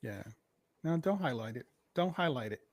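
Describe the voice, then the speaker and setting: A man co-hosting a casual podcast, loud, with quick exclamations and swearing. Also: mid-range voice, lively intonation, no room sound, studio quality. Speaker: podcast co-host. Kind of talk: casual chat.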